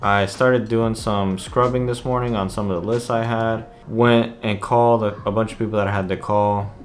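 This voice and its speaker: A man's voice: lowish voice, steady tone, normal speed, a little hiss or hum. A man hosting a casual vlog, explaining things informally and stressing his key points. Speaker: vlog host, real estate investor. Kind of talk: casual vlog talk to camera.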